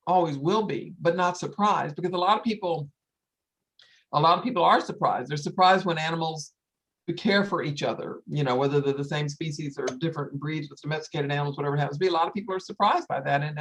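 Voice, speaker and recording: Older woman with a low, emphatic voice. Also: low-quality recording. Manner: emphatic, repeats phrases for stress. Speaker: wildlife sanctuary founder hosting a story time. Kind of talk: earnest informal talk with audience.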